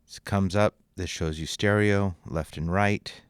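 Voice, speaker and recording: A man narrating a software tutorial as an instructor, informal and thinking aloud as he goes. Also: low-pitched, fairly even intonation, measured pace, clean tone, no echo, studio quality. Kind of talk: casual screen-recorded tutorial narration.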